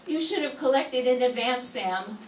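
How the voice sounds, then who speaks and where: A woman's voice: high voice, lively intonation, moderate pace. An older woman voicing a chirpy, teasing female secretary to a detective in an amateur 1940s-style radio play. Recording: echoey room from far off, faint hiss.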